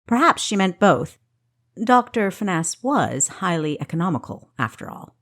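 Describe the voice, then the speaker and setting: A woman's voice: on the low side, very animated, normal speed, a bit bright. A woman reading scripted fiction as an audiobook narrator.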